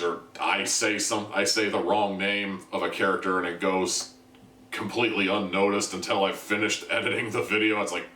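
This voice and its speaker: A man's voice: low voice, pushed and bright, slight background noise. A man giving a casual spoken video review in a wry, self-deprecating, conversational way. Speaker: reviewer, host of an audio drama review video series. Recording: roomy echo, distant from the mic.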